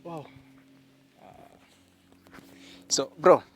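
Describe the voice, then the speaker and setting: A man vlogging outdoors in a village, slowly explaining things on a casual tour and laughing often. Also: a bit bright. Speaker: vlogger giving a farm tour. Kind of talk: casual guided tour chat.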